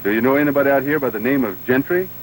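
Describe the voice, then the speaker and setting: An actor playing a courteous, determined young hero in a 1930s western film, straightforward in manner. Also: male voice, mid-range voice, rapid-fire, lightly acted. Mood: polite, resolute. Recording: noisy old 1930s film soundtrack.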